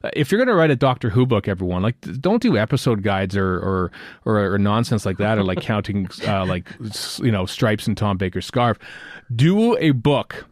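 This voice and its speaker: Low-voiced Canadian man, rambling. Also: expressive, moderate pace, dry recording, clean studio sound. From Western Canada. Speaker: podcast host. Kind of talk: casual chat.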